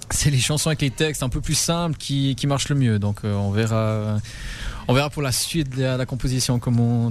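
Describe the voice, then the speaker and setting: A man, the band's lyricist, answering interview questions with many halting restarts. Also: mid-range voice, darkish tone. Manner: hesitant, many stammered restarts. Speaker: rock band lyricist.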